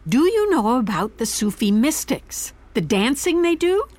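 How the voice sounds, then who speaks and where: A woman's voice: a little high, sing-song, a little slow, darkish tone. An actress playing an anxious, inquisitive older woman in an audio comedy, a stubborn customer with a blunt, rambling way of talking. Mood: chatty, inquisitive. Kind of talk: naturalistic audio comedy drama.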